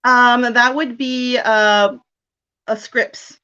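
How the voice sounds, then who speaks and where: A woman's voice: on the high side, fairly even intonation, very slow, pushed and bright, clear, resonant tone, highs cut off. A woman in her late thirties from South Texas, a medium chatting as an interview guest, rambling with lots of fillers and frequent giggles. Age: 30s. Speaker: guest, medium and paranormal investigator. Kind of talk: casual interview chat.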